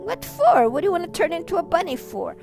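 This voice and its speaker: A woman's voice: very high voice, sing-song, quick pace, vocal fry, over music, exaggerated voice. A woman voicing a young boy friend who questions a plan. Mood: skeptical.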